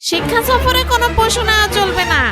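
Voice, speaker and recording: A woman's voice: very high voice, fairly quick, loud music behind the voice. A woman voicing a strict, scolding female ghost schoolteacher in a comic cartoon, bossy and exasperated.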